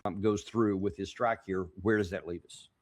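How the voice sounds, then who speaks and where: A man with a fairly low voice hosts an interview. He asks his questions in a conversational style. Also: fairly even intonation, measured pace. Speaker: interview host.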